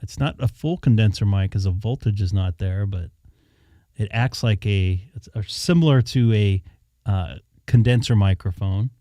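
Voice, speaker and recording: A man hosts a hobby live stream in a loose, rambling chat, often thinking aloud mid-sentence. His voice is mellow and dark. It is recorded dry and close on a handheld studio mic. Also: lowish voice, moderate pace, dry recording. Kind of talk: casual chat. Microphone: dynamic studio mic held in hand.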